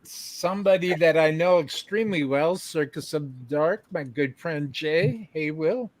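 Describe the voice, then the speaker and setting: A man's voice: a little high, unhurried, no room sound. A man hosting a live-streamed show, chatting casually with a band, reading out chat messages and laughing often. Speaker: livestream show host.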